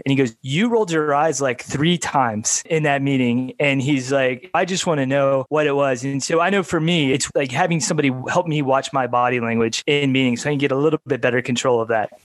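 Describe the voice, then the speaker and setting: A man co-hosting a podcast who talks in a relaxed way and tells short personal stories. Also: mid-range voice, no room sound. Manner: relaxed, anecdotal storyteller. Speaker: podcast co-host. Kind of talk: casual podcast discussion.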